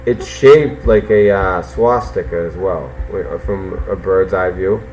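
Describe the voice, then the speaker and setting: Boston guy hosting call-ins, kinda low voice, lots of um and like, faint hiss behind. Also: a little mellow, poor audio. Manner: halting, many fillers and restarts. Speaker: host. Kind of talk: casual call-in chat.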